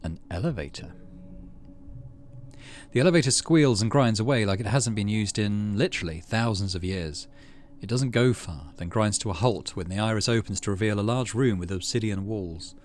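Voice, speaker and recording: A middle-aged man doing let's play commentary, muttering asides and reading game narration aloud in a measured storytelling way. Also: on the low side, a little hiss or hum. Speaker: gaming video host.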